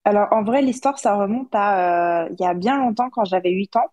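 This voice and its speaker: A woman's voice: medium pitch, a little fast, a little mellow, dull audio. A woman, answering casually as a podcast guest. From born in Russia, grew up in Paris, France. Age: 30s. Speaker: podcast guest, self-published author.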